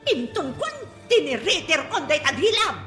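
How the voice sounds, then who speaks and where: A confrontational, hot-tempered mother in radio comedy. Her voice is high, bright and swooping, with an Ilocano accent and demanding delivery. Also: big pitch swings, normal speed, echoey room, soft background music. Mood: angry, defiant. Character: quarrelsome, hot-tempered mother who borrows from neighbours. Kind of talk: Filipino radio comedy drama acting.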